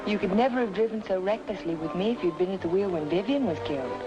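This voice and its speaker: An actress playing a devoted, clever young heroine in an old-time radio drama, earnest and proper. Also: female voice, medium pitch, quick pace, highs cut off, over music, natural voice. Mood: tender, earnest.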